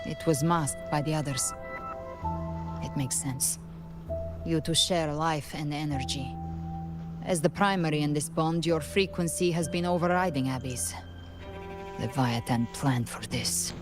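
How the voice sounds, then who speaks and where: A woman's voice: low-pitched, unhurried, over music. A woman playing a composed, analytical strategist in a video game, serious and explanatory. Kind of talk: dramatic video game voice acting.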